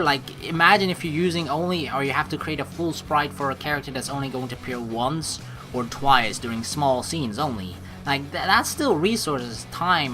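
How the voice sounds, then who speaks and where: A woman hosting a let's play, rambling informally and reading the game's text aloud in a casual way. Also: sits low. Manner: rambling, animated, informal with mild swearing. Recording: faint game music behind the voice. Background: game music.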